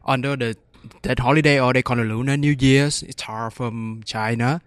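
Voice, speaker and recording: A man from Vietnam, a student, speaking haltingly in a casual interview and searching for his words. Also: mid-range voice, steady tone, smooth, dry recording.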